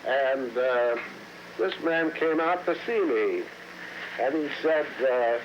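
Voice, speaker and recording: A man's voice: medium pitch, slow pace, background noise. An older man from Ohio casually reminiscing, rambling and hesitant with many fillers. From Middletown, Ohio. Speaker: interviewee recalling the past. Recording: dull lo-fi archival tape.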